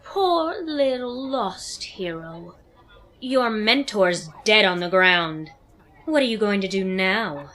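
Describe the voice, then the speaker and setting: A woman's voice: medium pitch, animated, takes it slowly, a bit bright. A woman playing a gloating, theatrical female villain in a superhero audio drama. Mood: menacing, taunting. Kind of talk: audio drama acting, stage villain lines.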